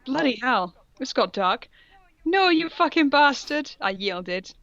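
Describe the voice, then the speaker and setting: A woman with a fairly high voice reads fan fiction aloud as a comic co-host. The delivery is mock-serious and amused, dropping into casual asides. The recording is dry and slightly dull. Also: animated, unhurried, vocal fry, a bit bright, no echo.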